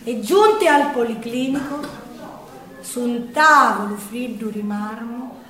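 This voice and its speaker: A woman's voice: fairly high pitch, slow, a bit bright. A woman with a Sicilian accent performing dramatic theatrical storytelling in verse, declamatory and lamenting. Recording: echoey room, distant from the mic.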